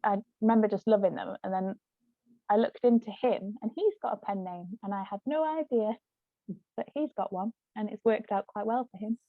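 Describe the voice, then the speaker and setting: A woman with a mid-pitched voice chats informally, building her thoughts in short phrases. The recording is dry and a little dull and lo-fi, and her talk is relaxed book chat. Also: normal speed, no room sound. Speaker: writer, discussion participant. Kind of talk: casual conversational book chat. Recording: slightly dull, lo-fi audio.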